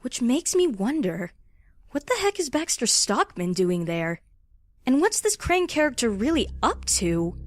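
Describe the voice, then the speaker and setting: A woman's voice: a little high, sing-song, unhurried, no room sound. A woman playing an inquisitive, skeptical character with pointed, conversational speech in an audio drama. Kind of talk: audio comic character acting.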